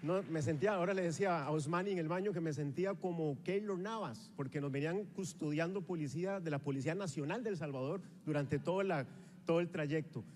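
A Costa Rican man in his forties with a Costa Rican accent, giving an energetic motivational speech on stage with a handheld microphone. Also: on the high side, fast talker. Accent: Costa Rican Spanish. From Costa Rica. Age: 40s. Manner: energetic, rallying the audience, walks the stage while gesturing. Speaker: motivational speaker at a sales rally. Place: large convention hall on a stage with a live audience. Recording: reverberant hall sound.

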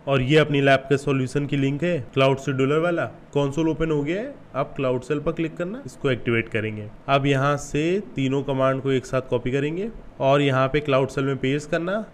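A man's voice: mid-range voice, slight background noise. A man in his twenties with an Indian accent hosts a casual step-by-step screen tutorial, informal and instructional, mixing in English terms. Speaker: YouTube tutorial host.

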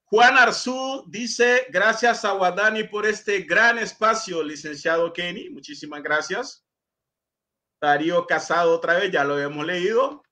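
A man's voice: high voice, a little slow, bright, forceful tone. A middle-aged man from Honduras hosting an interview on a video call, courteously reading viewer messages aloud. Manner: courteous, reads comments aloud. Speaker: host.

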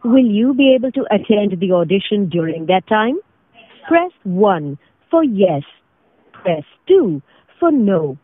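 A woman with an Indian accent reading formal, scripted automated phone prompts in a measured way over a phone line. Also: medium pitch, big pitch swings, no echo. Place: recorded phone call. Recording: tinny narrow phone line recording.

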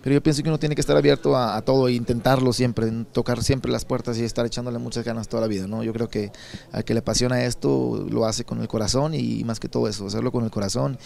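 A Mexican man in his thirties from Sinaloa, answering interview questions at an indoor expo booth into a handheld mic, relaxed and rambling with many repeated words. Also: mid-range voice, fast talker, gravelly. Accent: Sinaloan Mexican Spanish. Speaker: songwriter and singer.

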